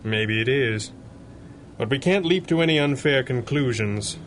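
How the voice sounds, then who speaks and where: A man's voice: medium pitch, expressive, unhurried, slight background noise, lightly acted. A man playing a suave billionaire playboy superhero with a dry, understated manner in a pulp radio drama. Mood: dry, composed. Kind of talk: 1930s pulp radio acting.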